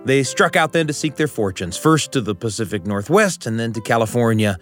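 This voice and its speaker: A middle-aged American man telling a personal story as a radio host, with deliberate, literary phrasing and dramatic repetition. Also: medium pitch, lively intonation, a bit bright, soft background music. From United States.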